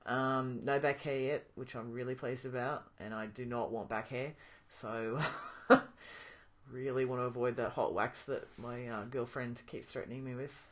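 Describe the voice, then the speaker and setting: A young man talking casually to his camera in a video diary, pausing often with ums and restarting his sentences. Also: mid-range voice. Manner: relaxed, thinking aloud with many ums and restarts. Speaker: vlogger.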